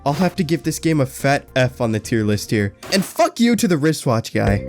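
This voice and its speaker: A man doing a scripted voice-over review of video games, sarcastic and self-mocking, swearing freely. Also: on the high side. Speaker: gaming YouTuber.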